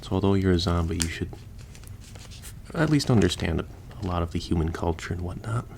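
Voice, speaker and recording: A man's voice: sits low, fairly quick, vocal fry, darkish tone, slight background noise. A man playing a chatty, easygoing surgeon who patches up the undead, explaining casually as he works in a listener roleplay.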